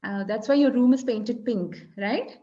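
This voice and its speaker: A woman from Kerala with an Indian English accent, whose first language is Malayalam, chatting and tutoring slowly and encouragingly on a video call. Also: a little high, mellow, muffled recording. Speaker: English conversation teacher. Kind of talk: casual tutoring chat.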